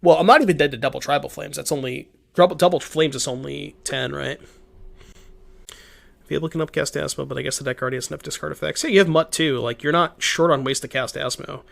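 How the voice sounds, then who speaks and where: A man's voice: a little high, steady tone, fast, vocal fry, fairly bright tone. A young man with a stuffed-up, worn voice, chatting casually as he streams a card game and talks to his chat. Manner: chatty, thinks aloud, reads chat, many restarts. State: stuffed up, voice a little shot. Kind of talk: casual gameplay commentary.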